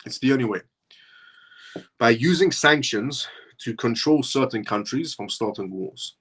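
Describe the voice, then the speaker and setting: A man's voice: medium pitch, lively intonation, measured pace, a bit bright, breathy edge. A man originally from Iran, hosting a live show and talking through his points in a rambling way that circles back on itself. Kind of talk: casual livestream commentary.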